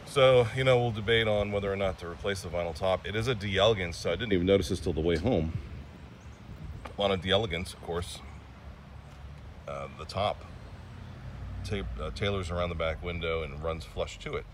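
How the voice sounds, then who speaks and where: A man's voice: low voice, slight background noise. A man casually narrating a walkaround of an old car outdoors, rambling with fillers and restarts. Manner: rambling, chatty, pauses with fillers. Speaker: classic car vlogger.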